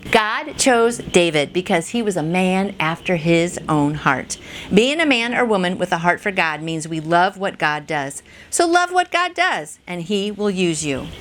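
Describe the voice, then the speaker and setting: A woman's voice: mid-range voice, a bit bright. A woman reading a devotional and adding informal, encouraging commentary, speaking directly to the listener with little rhetorical questions. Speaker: host of a daily devotional, reader. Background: background music.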